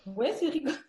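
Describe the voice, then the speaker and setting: A woman with a Portuguese accent, softened by a Swiss French tinge, speaks slowly over a video call. Her voice sits fairly high and rises and falls a great deal. Her replies are brief, soft and hesitant.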